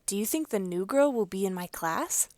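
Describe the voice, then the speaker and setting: A woman's voice: medium pitch, normal speed, dry recording, natural voice. A woman voicing an eager, curious young girl in a children's storybook. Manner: eager, excitable.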